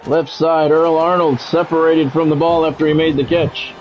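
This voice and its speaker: A man streaming a football video game, giving excitable play-by-play and bluntly talking at the players in short, urgent, repeated phrases. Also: on the high side, normal speed, muffled recording, slight background noise. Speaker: gaming streamer doing play-by-play.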